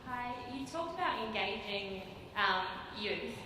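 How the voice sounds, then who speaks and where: A woman's voice: fairly high pitch, lively intonation, takes it slowly. A woman in the audience asking a slightly hesitant question at a public talk. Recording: distant echoey hall, faint hiss.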